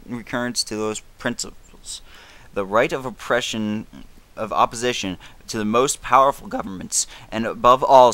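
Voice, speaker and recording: A man's voice: mid-range voice, sharp tone, no room sound, natural voice. A man playing a grave, defiant elder British statesman, giving a 1930s radio address with emphatic, oratorical delivery. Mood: earnest, measured. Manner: declamatory, emphatic, dramatic stresses, occasional stumbles.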